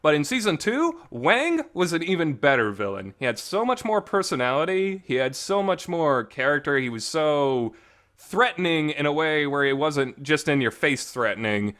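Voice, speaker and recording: A man's voice: on the high side, big pitch swings, moderate pace, a bit bright. A man hosting a casual talk show, giving an enthusiastic, informal and emphatic fan review with some reverb on his voice. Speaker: host of a visual novel talk show. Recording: reverberant voice recording.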